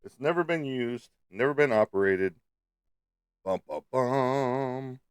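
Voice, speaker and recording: A man's voice: mid-range voice, lively intonation, takes it slowly, clean tone, no echo. A man with a slightly stuffy nose, chatting casually and playfully to viewers as a livestream host. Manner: playful, teasing, peppered with sung sound effects.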